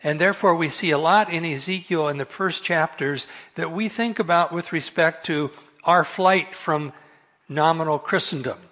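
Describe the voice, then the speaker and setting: Soft-spoken guy, bit high voice, laid-back bible study talk, laughs at his own slips. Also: moderate pace. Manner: relaxed, self-correcting, chuckles often. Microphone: amplified microphone.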